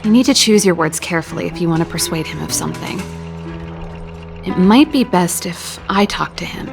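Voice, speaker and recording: An actress voicing a wry, candid young exiled scientist in a video game, talking in a reflective, articulate way. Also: female voice, lowish voice, brisk, creaky. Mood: wry, practical. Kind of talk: scripted dramatic game dialogue. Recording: loud background music under the voice. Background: game cutscene music.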